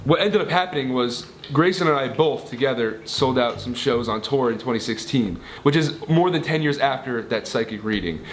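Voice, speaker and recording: A young adult man with an American accent and a mid-range voice talks in a casual vlog style. The recording is uneven camera audio with clear noise behind him. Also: medium pitch, animated, moderate pace, few pauses. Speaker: video creator.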